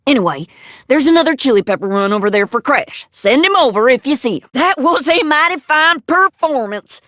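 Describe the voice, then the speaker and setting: High voiced southern country gal for a cartoon game, folksy n drawly, plainspoken, super dry sound. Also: female voice, lively intonation, normal speed, a bit bright, no room sound. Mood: brisk, friendly. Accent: American Southern. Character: folksy female adventure guide, rustic and plainspoken. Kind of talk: cartoon video game voice acting.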